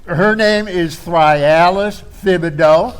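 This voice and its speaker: A man's voice: high-pitched, takes it slowly, clear, resonant tone. A man hosting a storytelling contest and announcing the contestants.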